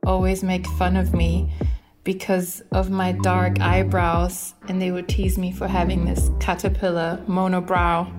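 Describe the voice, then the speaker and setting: Flat-voiced woman recalling over music. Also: fairly low pitch, measured pace. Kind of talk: reflective testimony.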